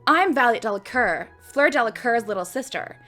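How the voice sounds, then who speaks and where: A woman's voice: on the high side, animated, normal speed, faint music behind. A woman voicing a bubbly, confident teenage witch schoolgirl in a story reading, emphatic and eager. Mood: pleasant, confident. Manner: bright, pleasant, eagerly emphatic.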